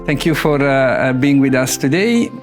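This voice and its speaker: A man's voice: medium pitch, lively intonation, moderate pace, soft background music. Hesitant middle-aged male interviewer. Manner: hesitant, searching phrasing. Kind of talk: conversational interview questioning.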